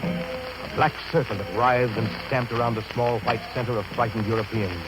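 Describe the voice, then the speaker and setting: An actor playing a grave, reflective riverboat captain, narrating in solemn, literary phrases for a 1930s radio drama. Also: male voice, mid-range voice, expressive, moderate pace, loud music behind the voice, natural voice, low-quality recording. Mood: solemn, brooding. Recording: old radio broadcast recording.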